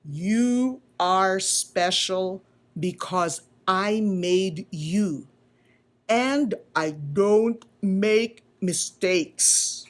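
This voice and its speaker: A woman voicing a kindly, loving male wood carver in a children's storybook, speaking slowly, strongly and with emphasis. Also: medium pitch. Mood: tender, reassuring. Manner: slow, deliberate, tender.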